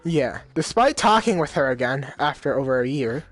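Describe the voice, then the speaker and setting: A man's voice: mid-range voice, expressive, normal speed, no echo, faint music behind. Man reading game lines, rambling, dry sound. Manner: reads game dialogue in his own voice, rambling asides; casual slang, many fillers. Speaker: gamer reading a visual novel aloud. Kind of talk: let's play reading and commentary.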